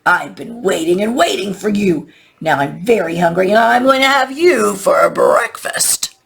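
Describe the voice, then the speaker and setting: A woman voicing an ugly, greedy, hungry male troll for a children's storybook, growling out slow, menacing threats. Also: medium pitch, expressive, gravelly. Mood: menacing, impatient. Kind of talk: children's storybook read-aloud.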